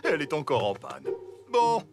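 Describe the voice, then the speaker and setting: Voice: mid-range voice, huge pitch swings, normal speed, background noise. A man voicing a cheerful, easygoing father elephant in a children's cartoon, upbeat and theatrical. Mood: cheerful, busy. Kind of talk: French children's cartoon dub. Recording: cartoon music behind voice.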